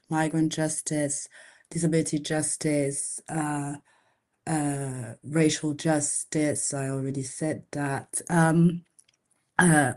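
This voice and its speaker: A woman from Senegal presents on a video call, often pausing with fillers and restarting her sentences. Her voice sits on the low side for a woman. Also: a little mellow. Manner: hesitant, many fillers and restarts. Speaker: co-director of a funding organization. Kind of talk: online info session presentation.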